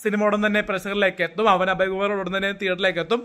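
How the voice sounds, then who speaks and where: High-voiced man promoting something. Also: level tone, rapid-fire, sharp tone. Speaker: song promoter. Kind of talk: casual promotional talk with fillers.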